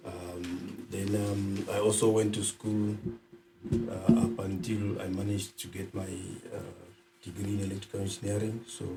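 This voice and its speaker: A man's voice: on the low side, little pitch movement, normal speed, recorded from a distance, heavy background noise. A middle-aged man from Zimbabwe, a podcast guest, talking in a conversational way with many ums and uhs. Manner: hesitant, many filler sounds. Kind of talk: conversational interview.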